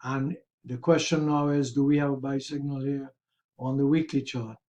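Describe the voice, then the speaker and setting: Mellow man with a slightly raspy voice. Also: mid-range voice, little pitch movement, moderate pace, highs cut off. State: hoarse, throat-clearing. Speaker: host of a stock market review. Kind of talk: explanatory chart commentary.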